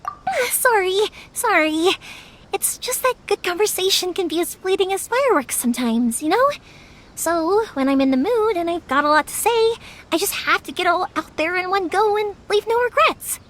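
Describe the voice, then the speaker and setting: A woman's voice: very high voice, expressive, normal speed, a bit bright. A woman playing a cheerful, talkative young fireworks maker in an anime-style video game, rambling along enthusiastically. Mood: bubbly, enthusiastic. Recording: music under the dialogue. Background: music.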